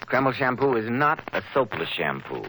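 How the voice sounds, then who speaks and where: An American man announcing in polished 1940s radio style, with deliberate emphasis, on an old broadcast recording. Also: mid-range voice, very animated, noisy background.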